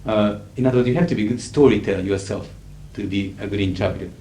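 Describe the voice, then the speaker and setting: A middle-aged man with a Japanese accent, a native Japanese speaker, lecturing as an interpreter in a measured, emphatic way with frequent fillers. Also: fairly low pitch, mellow. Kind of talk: lecture to an audience. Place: lecture hall. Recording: echoey 1980s hall recording with faint hiss.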